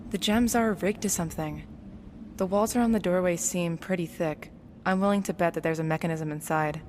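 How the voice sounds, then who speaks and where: Woman acting practical young lady explorer, lowish voice, calm n matter of fact, big cave reverb, audio drama. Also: a little fast, close to an everyday voice. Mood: explaining. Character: practical, level-headed young woman, handy leader of explorers. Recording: long cave-like reverb, faint noise.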